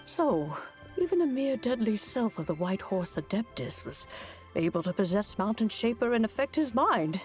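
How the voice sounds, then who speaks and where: A woman as a gracious, expressive elder immortal. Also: mid-range voice, soft background music, close to an everyday voice. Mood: pensive. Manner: gracious, measured, thoughtful. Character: kindly, composed elder woman, a wise immortal. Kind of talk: fantasy game voice acting.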